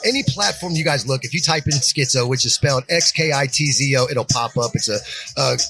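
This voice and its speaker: Husky, bright-voiced hyped rapper man. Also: medium pitch, brisk, soft background music. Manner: hyped, joking, laughs often. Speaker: rapper promoting new song. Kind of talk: casual backstage interview. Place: concert venue in a pub.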